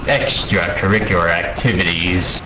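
A man telling a mock-dramatic comic story over game voice chat, pompous and deliberate, with profanity thrown in. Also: low-pitched, pushed and bright. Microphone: gaming voice chat microphone. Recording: roomy, noisy game voice-chat audio.

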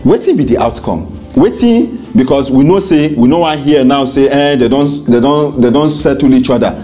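A man's voice: mid-range voice, animated, moderate pace, warm tone, faint music behind. A Nigerian man in his thirties presenting a talk show as host in a small studio.

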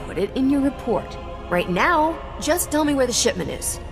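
A woman's voice: high voice, sing-song, normal speed, over music. A woman playing a cocky, sarcastic smuggler in a video game, with a wry, sassy delivery. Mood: scornful.

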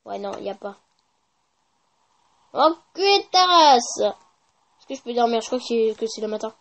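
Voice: mid-range voice, expressive, measured pace, a bit bright. A gaming YouTuber giving casual, informal gameplay commentary with slang and swearing.

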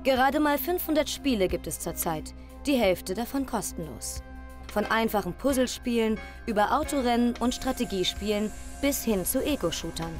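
Eine Frau liest als Reporterin den vorbereiteten Text eines Magazinbeitrags. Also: female voice, a little high, lively intonation, normal speed. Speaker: reporter voice-over. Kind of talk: scripted magazine report narration. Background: loud music.

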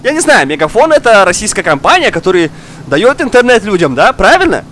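Male gaming streamer, young adult, high swooping voice, slightly unwell, excitable and chatty, repeats himself. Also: quick pace, slight background noise. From outside Russia. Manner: animated, exclaiming, repeats words, talks to viewer. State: slightly ill, coughing now and then. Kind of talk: excitable casual gameplay commentary. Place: indoor room, seated at racing wheel.

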